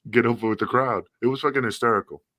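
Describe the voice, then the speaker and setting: A man co-hosting a podcast, telling stories in casual, profane, animated chat. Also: mid-range voice, quick pace, dry recording, muffled recording. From Puerto Rican. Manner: profane, animated storytelling with laughs. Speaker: podcast co-host, former referee.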